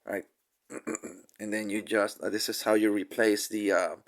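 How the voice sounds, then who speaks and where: Casual reviewer, male, slightly low voice, stuffy from a cold, lots of "uh" and restarts. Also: little pitch movement. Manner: halting, many fillers and restarts. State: nasal from a cold. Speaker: toy reviewer.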